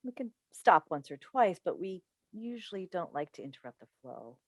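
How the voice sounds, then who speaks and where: Actress as an expressive, composed TV host mother. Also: lowish voice, normal speed, dry recording. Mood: placating, professional. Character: seasoned female TV talk show host and mother, composed, placating. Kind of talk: staged play reading. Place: indoors on a Zoom call. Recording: dry video call recording.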